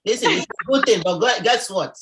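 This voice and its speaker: A woman's voice: mid-range voice, a little fast, background noise. A woman speaking effusively on a live call, preacher-like, repeating phrases and crying out religious exclamations. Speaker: guest on a live business call. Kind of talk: exuberant testimony.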